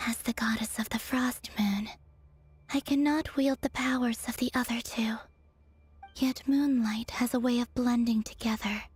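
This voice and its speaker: An actress playing a weary, melancholy moon goddess in a video game, breathy and whispery, speaking with slow resignation. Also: female voice, fairly high pitch, steady tone, darkish tone.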